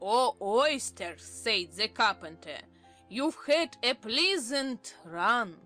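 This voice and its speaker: A woman's voice: a little high, animated, slow, sharp tone, faint music behind, heavily acted. A woman playing a petulant storybook twin brother who recites verse theatrically. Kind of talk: dramatized audiobook acting.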